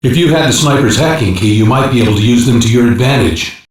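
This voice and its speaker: A man's voice: medium pitch, lively intonation, fast talker, lightly acted. A man playing a practical cyber-police hacker who gives instructive, matter-of-fact mission support in a sci-fi game. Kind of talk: English animated sci-fi game voice acting. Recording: very echoey, distant-sounding game audio.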